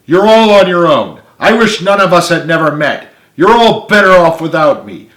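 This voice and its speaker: A man voicing a hot-headed, embittered young male team leader in an emphatic shouted tirade for a story. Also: a little high, big pitch swings, moderate pace, voice pushed up. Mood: furious, hurt. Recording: echoey room.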